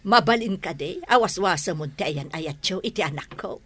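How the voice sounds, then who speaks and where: A woman's voice: on the low side, expressive, normal speed, fairly bright tone. An actress with an Ilocano accent playing a nagging, money-minded older mother in a radio drama, by turns pleading and scolding. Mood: stern, demanding.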